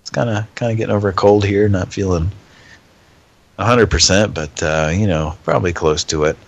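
A man hosting a live show in rambling, halting chat, stuffed up from a cold. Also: sits low, fairly even intonation, gravelly. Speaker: host.

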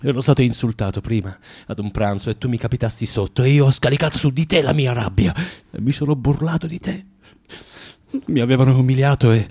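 An actor playing a bitter, proud, self-loathing recluse in a theatrical radio drama, with a volatile, declamatory and halting delivery. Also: male voice, fairly high pitch, a little mellow. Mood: mocking, scornful.

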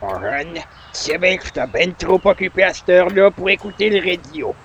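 A man playing a folksy, familiar old grandpa with a creaky voice, rambling colloquially in a Quebec accent in a radio comedy. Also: high voice, normal speed, fairly bright tone, dull audio, a little hiss or hum. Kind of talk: 1940s radio comedy acting.

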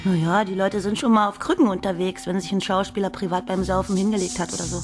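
A woman's voice: medium pitch, fairly even intonation, fast talker, over music, close to an everyday voice. An actress playing a cheeky female co-writer who jokes during a meeting in a radio play. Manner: cheeky, joking.

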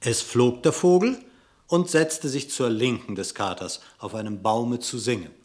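A man narrating a classic radio play in verse, with theatrical, measured declamation. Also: medium pitch, a little mellow.

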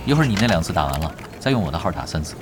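A man's voice: low voice, big pitch swings, racing, noisy background. An actor playing a laid-back, teasing expert gamer in his twenties in a Chinese audio drama, casual and assured. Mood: patient, instructive.

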